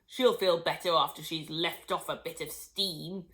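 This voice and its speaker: Woman doing a gleeful, booming farmer. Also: fairly even intonation, unhurried, fairly bright tone. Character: gleeful, callous middle-aged farmer husband.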